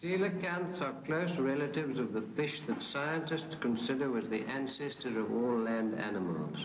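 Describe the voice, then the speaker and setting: A man's voice: medium pitch, moderate pace. A man delivering scripted science narration as a documentary narrator. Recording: echoey room, clearly noisy background.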